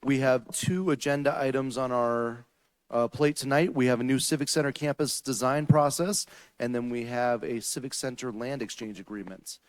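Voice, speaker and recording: Mayor guy opening a council meeting, brisk and procedural, dry close sound. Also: mid-range voice, steady tone, dry recording. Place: city council chambers.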